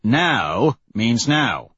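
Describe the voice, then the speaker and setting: A man's voice: mid-range voice, big pitch swings, extremely slow, fairly bright tone, husky, exaggerated voice. A man voicing a wry, stringy working-class smuggler in an audiobook, talking in cocky slang. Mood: urgent, brash. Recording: muffled, roomy audio.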